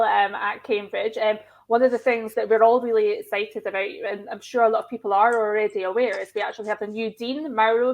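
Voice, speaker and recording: A woman's voice: fairly high pitch, poor audio. A woman working as an admissions head, speaking fluently on a video call and often starting with "um". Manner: warm, fluent, with frequent "um". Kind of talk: professional panel talk.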